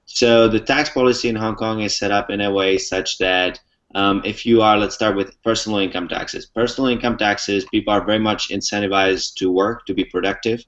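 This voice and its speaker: Young man, low voice, muffled audio. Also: steady tone, fairly quick, a bit bright. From Czech Republic. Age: young adult. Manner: fluent, explains step by step with many ums. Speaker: interview guest. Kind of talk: casual explanatory talk. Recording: muffled lo-fi recording.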